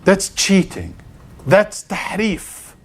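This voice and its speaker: A man's voice: high voice, big pitch swings, extremely slow. A man with an Arabic accent teaches an interactive religious lesson as an Islamic lecturer, explaining didactically and checking understanding with questions. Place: live class at an Islamic center. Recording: clearly noisy live room recording.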